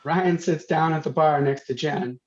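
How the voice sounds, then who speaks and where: A man's voice: on the high side, moderate pace, a little mellow. A man reading stage directions as scripted narration at a comedy table read. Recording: dull lo-fi online call.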